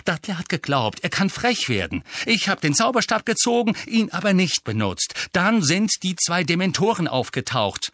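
A man's voice: fairly high pitch, normal speed, few pauses, breathy edge, no room sound, studio quality. A man voicing an irritable, defiant teenage boy in an audiobook, curt and barely holding back his annoyance. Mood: irritated, hurried. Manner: curt, through clenched teeth, barely restrained annoyance.

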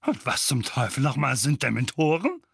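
A man voicing a bad-tempered middle-aged uncle who barks and splutters loudly. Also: on the high side, sing-song, very slow, husky, dry recording, clean studio sound, pitched above the performer's own voice, heavily acted. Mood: blustering. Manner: loud, barking, spluttering.

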